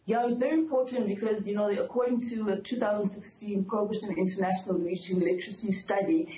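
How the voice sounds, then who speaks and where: Female educator guest, mid pitch, earnest and explanatory, echoey lo-fi link. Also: steady tone, fast talker. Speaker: author and educator, interview guest. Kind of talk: explanatory live news interview. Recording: dull, echoey lo-fi remote link.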